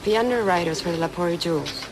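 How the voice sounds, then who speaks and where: Female actor, thirtyish private investigator for audio drama: smooth, measured, lowish voice over clear background noise. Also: lightly acted. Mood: poised, businesslike. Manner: measured, polite. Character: poised woman insurance investigator around thirty, smooth, businesslike.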